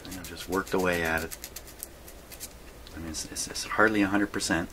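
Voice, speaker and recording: Low-pitched man, casual hobbyist host, rambling folksy musing, workbench vlog, clear background noise. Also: fairly even intonation, normal speed, bright, forceful tone. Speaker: hobbyist host repairing vintage radios. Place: indoor radio workshop.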